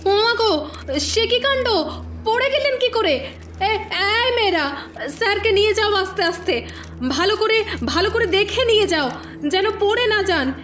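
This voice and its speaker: A woman's voice: extremely high pitch, lively intonation, measured pace, a bit bright, heavily acted. A woman playing a bossy, exasperated matron of a girls' shelter in a theatrical audio horror story. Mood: fussing, fawning. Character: scolding, scheming older matron of a girls' shelter.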